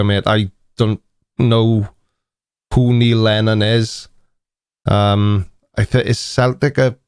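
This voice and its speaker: A man's voice: on the low side, a little mellow, clear, resonant tone, dry recording. A man in his forties with a Welsh accent, hosting a casual live stream chat in a dry, teasing, mock-indignant manner. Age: 40s.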